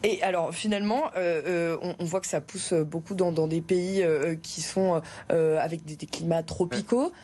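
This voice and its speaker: A woman hosting a TV interview, asking guests questions with brisk prompts and fillers. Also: fairly low pitch, steady tone, mellow, slight background noise. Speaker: TV host, interviewer.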